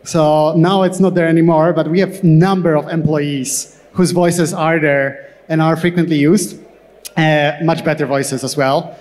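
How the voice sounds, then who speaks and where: Higher-pitched man speaking in an echoey hall. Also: fairly even intonation, a little mellow. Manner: halting, repeats words as he explains. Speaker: tech company co-founder, panel guest. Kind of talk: on-stage interview answers. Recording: echoey hall with long reverb.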